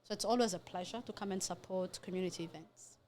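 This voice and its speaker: A woman from Rwanda, a community leader, answering interview questions in a friendly, relaxed way, with frequent ums. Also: fairly low pitch, quick pace. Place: community centre building, on location.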